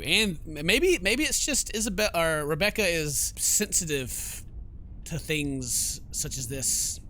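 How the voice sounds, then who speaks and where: A man gives casual, mocking commentary on a game he is playing. His voice is forceful and bright, pitched a little high, with faint music behind it. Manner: sarcastic, mocking asides. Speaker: gaming video host, let's player. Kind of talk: casual game commentary mixed with reading narration aloud.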